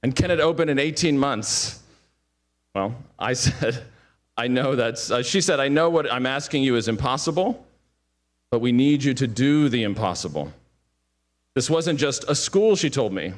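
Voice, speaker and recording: A man's voice: medium pitch, animated, normal speed. Guy giving a heartfelt ceremony speech, earnest and formal.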